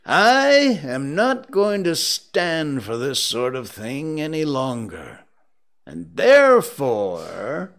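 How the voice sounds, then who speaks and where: A man voicing an elderly reformed miser in a storybook, a mischievous and big-hearted figure with a theatrical, drawn-out, emphatic delivery. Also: on the high side, wildly swooping pitch, a bit bright, pitched above the performer's own voice, exaggerated voice. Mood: mock gruff. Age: older. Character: elderly miser turned jubilant, booming and mischievous, warm-hearted.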